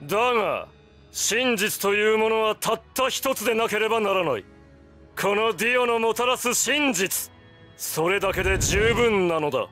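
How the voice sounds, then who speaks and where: A man voicing an arrogant, godlike vampire villain in a Japanese video game, with grandiose, drawn-out taunts and bursts of shouting. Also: sits high, animated, soft background music. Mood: haughty, condescending.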